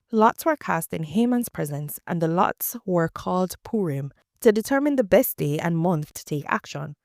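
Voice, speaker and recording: A woman reading scripture aloud as a regular reader, in a measured, even way with pauses between clauses. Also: fairly low pitch, creaky, no room sound, studio quality. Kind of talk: read-aloud scripture narration.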